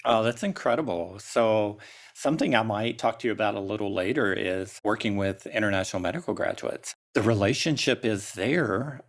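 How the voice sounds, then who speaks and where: A man hosting a podcast, reading a measured, upbeat introduction and then asking interview questions. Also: on the low side. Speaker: podcast host.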